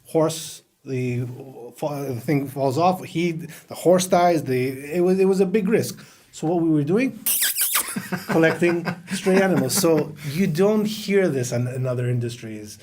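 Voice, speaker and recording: A middle-aged man who grew up in Greece, a podcast guest telling anecdotes in a relaxed interview chat. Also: fairly high pitch, measured pace. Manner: storytelling, anecdotal, laughs while talking.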